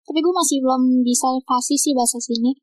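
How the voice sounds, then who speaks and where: A teenage girl with a high, light voice chats easily, her slang tinged with a slight Surabaya lilt. She trails off into fillers as she thinks aloud. The sound is dry and close. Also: quick pace, no echo. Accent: slight Surabaya lilt over Jakarta slang. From Jakarta. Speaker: guest. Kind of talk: casual chat on a call.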